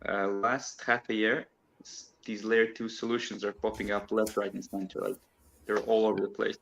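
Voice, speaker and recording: A man's voice: on the low side, little pitch movement, moderate pace, gravelly, fairly bright tone, slight background noise, low-quality recording. A man from Croatia, a guest on a casual online chat, talking in a chatty way and laughing often as he explains.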